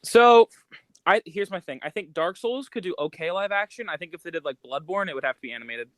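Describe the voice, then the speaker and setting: A man co-hosting a podcast in casual, slangy chat, rambling as he thinks out loud. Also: high voice, lively intonation, fast talker, dry recording. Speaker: podcast co-host.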